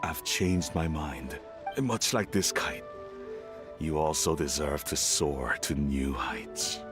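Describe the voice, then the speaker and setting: A deep-voiced proud father. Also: over music. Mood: earnest. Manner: halting, gentle speech with awkward chuckles. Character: gruff older father, reserved but proud. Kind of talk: video game voice acting.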